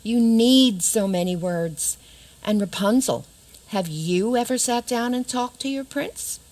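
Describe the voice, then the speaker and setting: A woman's voice: a little high, animated, measured pace, a little mellow. Woman playing a vain, flirtatious fairy-tale princess. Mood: teasing. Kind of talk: community radio theater acting.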